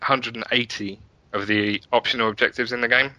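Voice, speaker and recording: A man's voice: medium pitch, fairly even intonation, brisk, pushed and bright, no echo, highs cut off. A man speaking as an interview guest, chatting casually and telling stories with enthusiasm. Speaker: podcast guest, app and website developer.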